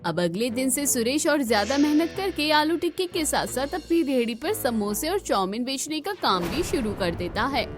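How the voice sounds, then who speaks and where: A woman's voice: sits high, very animated, normal speed, hardly any pauses, over music. A woman narrator reading a scripted moral story for a cartoon.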